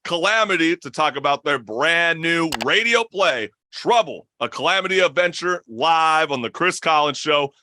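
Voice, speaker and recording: Male talk show host, forceful high voice, slangy and effusive, close dry sound. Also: expressive, normal speed, hardly any pauses, no room sound. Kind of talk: upbeat interview hosting.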